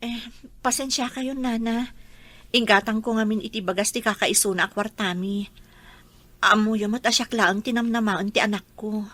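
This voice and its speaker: A woman's voice: fairly high pitch, fairly even intonation, normal speed, pushed and bright, dry recording. A woman playing a poor, humble, pleading young mother in an Ilocano radio drama. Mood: apologetic, pleading.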